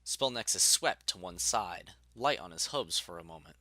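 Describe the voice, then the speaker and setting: A male narrator reads scripted fiction. His voice is fairly low, yet bright and forceful, carrying the story in third person. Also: lively intonation, moderate pace, dry recording.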